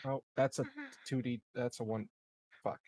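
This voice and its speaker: A man's voice: mid-range voice, fairly even intonation, normal speed, darkish tone, no echo. A man playing a tabletop game, chatting casually with joking and profanity. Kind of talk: casual chat.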